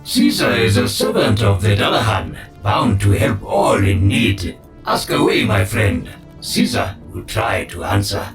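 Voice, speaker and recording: A man's voice: lowish voice, lively intonation, measured pace, creaky, distant mic, faint music behind. A man voicing a pious machine healer in a video game, formal and preachy, scornful of creatures of flesh. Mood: solemn, obliging. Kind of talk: scripted video game voice acting.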